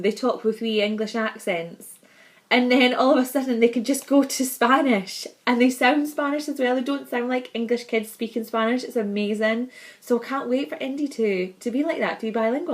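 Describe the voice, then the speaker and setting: A young woman with a Scottish accent, chatting casually and bubbly to camera in her vlog, laughing often. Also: fairly high pitch. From Scotland. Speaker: vlogger.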